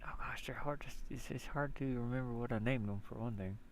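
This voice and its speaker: Gravelly man muttering through a casual screen-share. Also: mid-range voice, fairly quick, slight background noise. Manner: muttering to himself, repeating words and syllables. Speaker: indie game developer showing his work.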